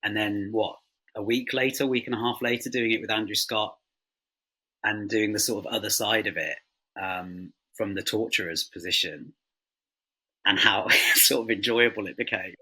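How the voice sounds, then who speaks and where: A man's voice: lowish voice, fairly even intonation, normal speed, pushed and bright, breathy edge, rough recording. A man on a creative panel, talking casually and unscripted.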